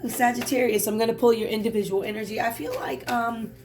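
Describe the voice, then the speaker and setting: A hoarse woman doing a casual tarot reading, chatty and reflective with many fillers. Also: fairly high pitch, fairly even intonation, quick pace, music in the background. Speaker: tarot reader.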